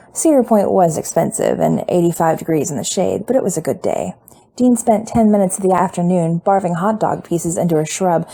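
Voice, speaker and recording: A woman reading fan fiction aloud as an audiobook, in a relaxed, conversational narrating style. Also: fairly low pitch, gravelly.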